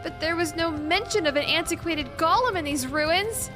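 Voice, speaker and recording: A woman acts out a bookish young female explorer with a high voice. She talks in wordy, analytical bursts and stammers when rattled. Music plays clearly behind her in this audio drama. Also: normal speed. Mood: astonished, puzzled. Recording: music under the voice.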